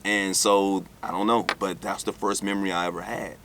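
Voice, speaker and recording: A man's voice: low-pitched, flat delivery, fairly quick, noisy background. A man from the United States, raised in Alabama, speaking candidly and conversationally as an interview guest, often repeating and circling back over his phrases. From United States, born in New York, raised in Alabama. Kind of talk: candid personal storytelling in an interview.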